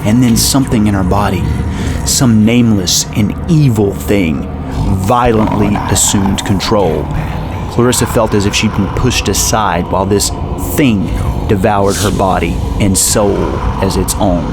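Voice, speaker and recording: A man narrating a scripted horror story in a measured, ominous storytelling manner. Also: on the low side. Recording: loud music under the voice. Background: loud music.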